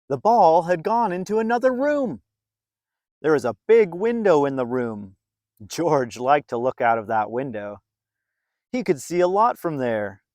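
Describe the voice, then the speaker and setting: A man reading a story aloud to young children in a playful, expressive way, with dramatic emphasis and drawn-out words. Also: fairly high pitch, dry recording.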